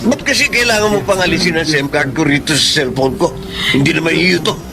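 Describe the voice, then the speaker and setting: A man's voice: on the high side, fairly quick, gravelly. An actor playing a hot-tempered, philandering father, curt and dismissive, in a Tagalog radio drama. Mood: angry, demanding. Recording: loud music under the voice.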